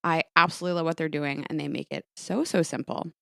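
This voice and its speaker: A woman with a fairly low voice reads a sponsor message. The delivery is scripted, as in a podcast ad, and the sound is close and dry with no room echo. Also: steady tone, fast, creaky, sharp tone. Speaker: ad reader.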